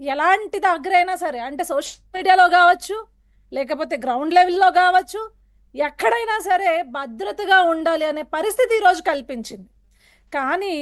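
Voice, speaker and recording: A woman speaking in a heated television political debate, forceful and accusatory, firing rhetorical questions. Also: very high voice. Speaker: political panel guest.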